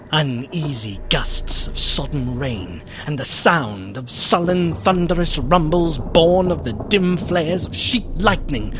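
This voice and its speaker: An actor playing an anxious, theatrical gentleman who narrates a gothic radio play with solemn deliberation. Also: mid-range voice, background noise, close to an everyday voice. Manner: solemn, theatrical, deliberate, hushed then fervent. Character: gentleman narrator, anxious friend of a doomed nobleman.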